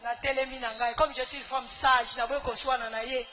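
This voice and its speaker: A woman preacher with a Congolese accent gives an emphatic, scolding church testimony in short phrases, pausing for interpretation. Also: high voice, steady tone, loud music behind the voice. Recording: tinny phone-like band, loud noise.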